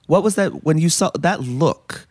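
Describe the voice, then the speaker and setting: A man's voice: on the high side, expressive, a little slow. A man hosting a podcast, introducing an episode and asking earnest, searching questions, often tagging them with "right?". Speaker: podcast host.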